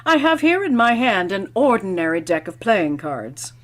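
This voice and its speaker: A woman's voice: mid-range voice, very animated, moderate pace, over loud music, natural voice. A woman playing a wry, theatrical schoolteacher who declaims and exclaims in an old-time radio comedy. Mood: grandly performing. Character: theatrical, wry schoolteacher, eager party performer and storyteller. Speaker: lead actor in a radio comedy. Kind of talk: 1940s-50s radio comedy acting.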